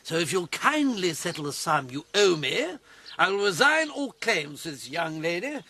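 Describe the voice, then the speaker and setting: An actor playing a pompous, cowardly English army captain with an English accent, haughty and easily flustered, in a period radio drama. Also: male voice, high-pitched, big pitch swings, normal speed, sharp tone, no echo, heavily acted. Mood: indignant.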